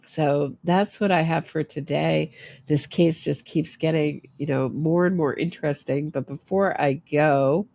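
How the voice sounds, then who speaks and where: A woman's voice: deep voice, fairly even intonation, measured pace, vocal fry, mellow. A middle-aged woman who sounds congested, hosting a true crime show in casual livestream commentary, chatty and thinking aloud.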